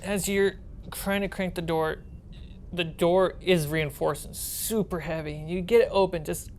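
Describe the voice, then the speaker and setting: A woman's voice: lowish voice, a little slow, a little mellow, slight background noise. A woman running a tabletop game as game master, describing scenes in a casual, improvised way.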